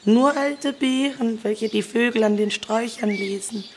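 A woman's voice: fairly high pitch, a little slow, natural voice. A woman playing a frail, pleading old granny in a German-style fairy-tale audio story, courteous and hungry. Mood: weary pleading. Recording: noisy recording with birdsong. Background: birdsong.

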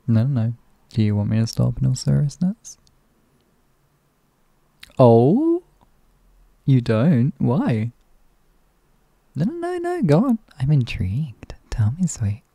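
A man voicing an affectionate, teasing partner in an intimate roleplay, speaking softly and often in a whisper. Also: mid-range voice, big pitch swings, takes it slowly, gravelly, pauses often, dry recording, exaggerated voice. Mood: teasing, doting. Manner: soft, often whispered, coaxing, chuckling. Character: affectionate teasing male partner, doting, playful. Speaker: audio roleplay performer.

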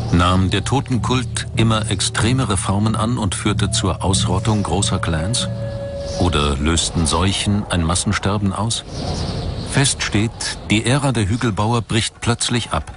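A man reading scripted documentary narration in a measured, dramatic storytelling manner. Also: deep voice. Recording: music under the voice. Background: background music.

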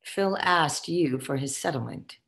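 A woman's voice: low-pitched, a little slow, creaky, fairly bright tone, heavily acted. A woman playing the imperious, condescending ghost of a socialite boss in a theatrical comic table read over video call. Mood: admonishing. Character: haughty, foul-mouthed ghost of a dead socialite boss. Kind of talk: virtual table read, comic stage acting.

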